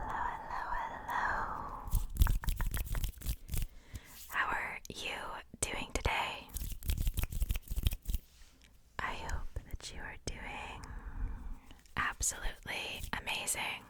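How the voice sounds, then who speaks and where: A young adult woman hosting ASMR, whispering casually in a rambling, informal show-and-tell with self-joking asides. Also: pushed and bright. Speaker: ASMR video host.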